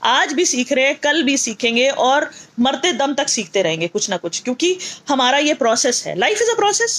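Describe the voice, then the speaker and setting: High-pitched North Indian woman teaching with emphasis. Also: sing-song, moderate pace, a bit bright. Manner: emphatic, repetitive, asks rhetorical questions. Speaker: teacher and motivational speaker. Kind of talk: motivational lesson mixing Hindi and English.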